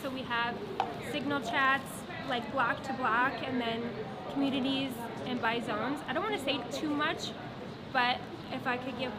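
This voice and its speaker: Earnest woman from Wisconsin, loud crowd. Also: high-pitched. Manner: earnest, halting with fillers. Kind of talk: casual interview answers. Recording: loud crowd noise behind.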